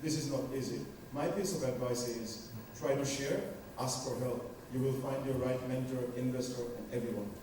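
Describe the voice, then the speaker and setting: A man's voice: mid-range voice, moderate pace, hardly any pauses, noisy background. A male panelist earnestly telling his own story and advising a young audience member. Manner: earnest, storytelling, rhetorical questions. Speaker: panelist, entrepreneur. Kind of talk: giving advice to an audience. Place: large conference hall. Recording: echoey conference hall from far off.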